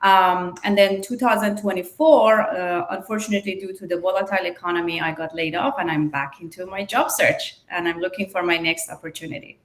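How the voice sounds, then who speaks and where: A woman telling her career story on a panel, friendly and lively with some hesitations. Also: fairly low pitch, brisk, hardly any pauses. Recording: roomy echo.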